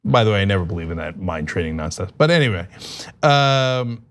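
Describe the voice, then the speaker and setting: A man's voice: deep voice, lively intonation, a little fast, fairly bright tone. A man hosting a live news show, reading viewer comments aloud in a casual, wry manner.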